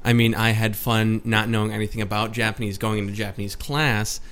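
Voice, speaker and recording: A man's voice: fairly low pitch, level tone, brisk, fairly bright tone, slight background noise. A man hosting a casual group chat, speaking with wry humor.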